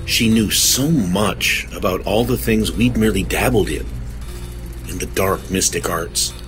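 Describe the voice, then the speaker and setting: A man playing a troubled, genteel suspect in an audio horror drama, halting, formal and earnest. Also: low voice, music in the background, low-quality recording. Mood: haunted, reserved. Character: troubled, genteel man confessing to police, desperate.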